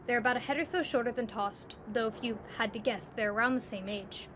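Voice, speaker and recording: Female narrator for a second-person audio story, higher-pitched voice, noticeably noisy recording. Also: fairly quick, a bit bright.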